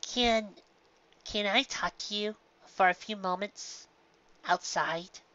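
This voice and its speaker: A narrator voicing a gentle, easily confused pegasus mother who stammers and repeats words in a storybook reading. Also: medium pitch, slow pace, muffled recording, voice pushed up. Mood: hesitant.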